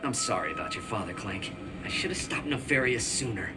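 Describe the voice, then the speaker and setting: An earnest young video game hero, played by a man, with very animated pitch and a plucky, wry manner. Loud music plays behind him. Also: brisk, close to an everyday voice. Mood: subdued. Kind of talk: video game cutscene acting.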